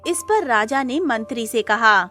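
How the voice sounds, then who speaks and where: A woman narrates a scripted cartoon tale at a slow, measured pace. Her voice is fairly high, with music playing underneath. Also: animated, pushed and bright. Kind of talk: scripted children's-style story narration.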